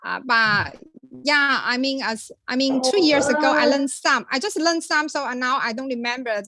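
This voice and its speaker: A woman from China, a native Chinese speaker, talking in English in a casual group chat, halting and searching for words with many fillers. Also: high-pitched, crowd noise. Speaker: participant.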